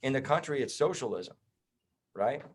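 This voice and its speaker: A man's voice: mid-range voice, lively intonation, normal speed, breathy edge. Blunt, heated man on a podcast. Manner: blunt, heated, swears and says bro. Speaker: podcast guest, chiropractor. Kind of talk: casual podcast conversation.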